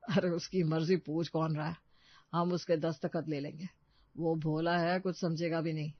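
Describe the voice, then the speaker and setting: A man acts a crafty, middle-aged brother-in-law in a cartoon moral story. His voice is high for a man, with a sly, persuasive manner, on a dry, dull track. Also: level tone, moderate pace, no room sound. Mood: scheming.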